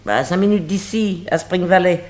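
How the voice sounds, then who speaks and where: An actor playing a flustered, gossipy household servant in a 1960s French radio drama, hesitant, stammering and colloquial. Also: male voice, high voice, normal speed, natural voice. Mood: chatty.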